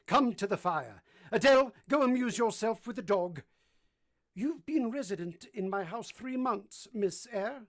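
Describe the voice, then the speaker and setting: An actor playing an imperious, blunt middle-aged English master of the house in a vintage-style radio drama. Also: male voice, sits high, exaggerated voice.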